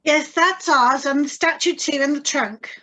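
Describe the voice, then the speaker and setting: A woman playing an eager, stubborn and excitable wife in a radio drama reading, wide-eyed and insistent. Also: high voice, moderate pace. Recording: live video-call recording.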